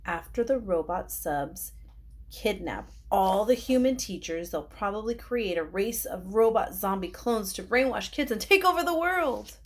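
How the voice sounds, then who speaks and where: Woman reading as an indignant, dramatic schoolboy, husky voice. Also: mid-range voice, animated, a little slow, pitched above the performer's own voice. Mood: suspicious. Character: gifted young schoolboy narrator, indignant and dramatic.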